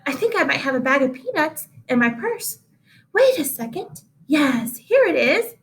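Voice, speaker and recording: Woman playing a high, emphatic, flustered schoolteacher. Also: big pitch swings, moderate pace. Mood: brisk, bright. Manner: exclaiming, emphatic. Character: flustered, nice but mistaken female schoolteacher.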